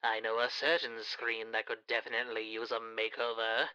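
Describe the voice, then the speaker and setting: A man voicing a suave, theatrical demon showman giving a silky, formal old-time radio sales pitch through a radio filter. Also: level tone, normal speed, bright, forceful tone, clear, resonant tone. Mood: suave, enticing. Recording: dull band-limited radio sound.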